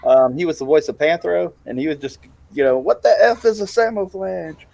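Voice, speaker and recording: A man with a fairly high, gravelly and mellow voice, talking animatedly in casual chat. The sound is dry and close. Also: brisk, no echo.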